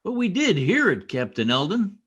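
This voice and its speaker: An actor playing an earnest, insistent young police trooper in an old-time radio drama, speaking with urgent emphasis. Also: male voice, high voice, wildly swooping pitch, moderate pace, fairly bright tone, breathy edge, exaggerated voice.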